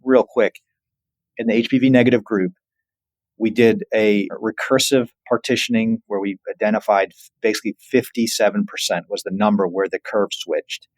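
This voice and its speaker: A man speaking as a physician researcher in an interview, earnest and emphatic while explaining findings in measured phrases. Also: fairly low pitch, fairly even intonation, no echo, poor audio. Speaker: physician researcher, podcast guest.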